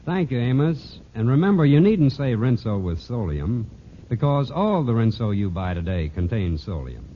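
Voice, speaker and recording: A man's voice: medium pitch, very animated, normal speed. A man working as a radio announcer, reading a scripted mid-century soap commercial. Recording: dull, hissy old radio broadcast.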